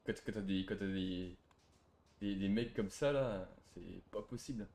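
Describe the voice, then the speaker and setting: A man's voice: deep voice, unhurried, a little mellow. A man giving casual, slangy banter and commentary while playing an online game, provocative and mocking in manner. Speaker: gaming video creator playing online roleplay.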